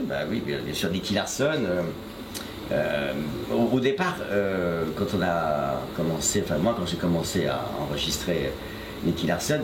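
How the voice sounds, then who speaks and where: Deep-voiced older man, halting speech. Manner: hesitant, many fillers and restarts. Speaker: voice actor guest. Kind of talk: interview, recounting career. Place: shop interview, echoey room. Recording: echoey room with noise.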